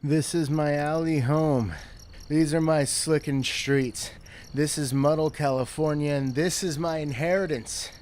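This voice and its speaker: A raving young punk madman, acted by a man, cries out in a raised, loud voice. He is proud and grandiose, declaiming as in an audio drama. Noise and insects are clearly audible behind him. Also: a little high, pitched above the performer's own voice, lightly acted.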